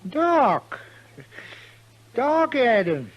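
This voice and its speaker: An actor playing a stubborn, flustered self-styled army general who stammers and halts, in 1950s western radio style. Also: male voice, extremely high pitch, sing-song, heavily acted. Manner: stammering, halting, earnest. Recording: dull vintage radio recording.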